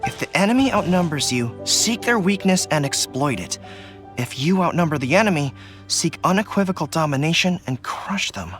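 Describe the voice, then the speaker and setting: A man's voice: fairly high pitch, lively intonation, moderate pace, lightly acted. A man voicing an earnest young resistance general giving tactical orders in a video game. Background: game music.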